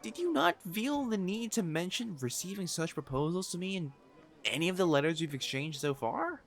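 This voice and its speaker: A man's voice: sits high, lively intonation, normal speed. A man playing a proud, possessive noble fiancé in a romantic audio roleplay, haughty and formal in speech and easily flustered. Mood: exasperated, jealous.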